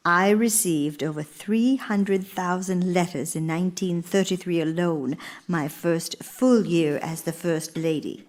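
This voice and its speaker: A woman's voice: on the low side, a little slow, a little mellow, natural voice. An actress playing an earnest, gracious elder First Lady in a radio play, speaking formally and with theatrical emphasis. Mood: reminiscing. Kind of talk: radio theater monologue and dialogue.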